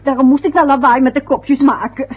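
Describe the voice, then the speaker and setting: A woman's voice: sits high, steady tone, brisk, close to an everyday voice. A middle-aged woman playing a weary, reproachful wife and mother in a radio drama. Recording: dull lo-fi old radio recording.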